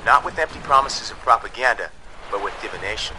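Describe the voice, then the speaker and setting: An actor playing a propaganda announcer with a solemn broadcast delivery. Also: male voice, fairly low pitch, expressive, quick pace, noisy background, lightly acted.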